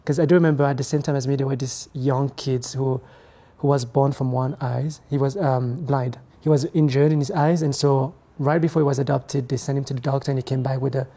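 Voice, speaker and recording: A young man, his tone soft and mellow. He talks reflectively and haltingly, pausing to search for words as an interview guest. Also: mid-range voice, fairly even intonation. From Ethiopia, raised in France. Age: 20s. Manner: reflective, hesitant, halting phrasing. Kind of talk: conversational interview.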